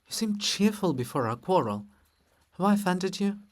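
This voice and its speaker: A man's voice: high-pitched, very animated, normal speed, voice pushed up. A man voicing a bold, sly, devoted sister in a theatrical, teasing, insistent stage reading. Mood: tender, pleading. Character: Sister Lybid, bold, sly, devoted female river spirit.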